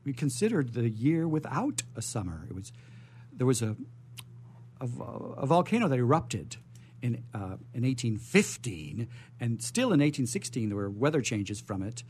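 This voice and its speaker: A man's voice: medium pitch, sing-song, moderate pace, a little mellow, slight background noise. A man hosting a live radio show, rambling playfully off the cuff with theatrical phrasing.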